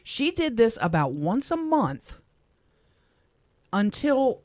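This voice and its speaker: A middle-aged woman from Louisiana, a radio co-host, speaking softly and with emotion as she reads a personal letter on air in a studio. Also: a little high, very animated, takes it slowly, no echo. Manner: heartfelt, soft-spoken, emotional.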